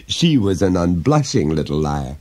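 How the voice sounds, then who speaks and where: A man's voice: deep voice, sing-song, moderate pace, a little mellow. A man reading an audiobook, voicing a prim mother who scolds.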